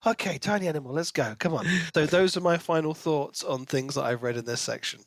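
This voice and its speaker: Male co-host, slightly high voice, enthusiastic and informal book chat, dry recording. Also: fairly quick, dry recording. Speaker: podcast co-host. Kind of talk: casual book discussion.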